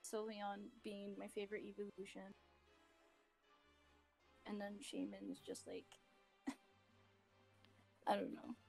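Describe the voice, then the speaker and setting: Lively, laughing woman guest. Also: medium pitch, takes it slowly, vocal fry, long pauses, soft background music, low-quality recording. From Puerto Rico. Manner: lively, laughs often, rambling. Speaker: guest artist. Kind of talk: casual gaming stream chat.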